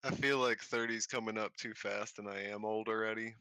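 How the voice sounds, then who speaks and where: A man in his twenties in a casual group chat, teasing dryly with deadpan replies. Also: fairly low pitch, brisk. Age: 20s.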